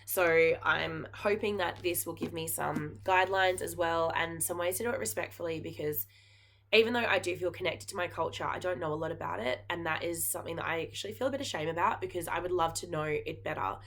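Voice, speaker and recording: An Australian woman talks to camera in a relaxed, earnest way, her speech full of ums and likes. Her voice sits fairly low, with a bright, forceful edge. She sounds like a teacher sharing what she cares about. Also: a little fast, slight background noise. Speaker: knitting podcast host and geography teacher. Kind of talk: casual chat to camera.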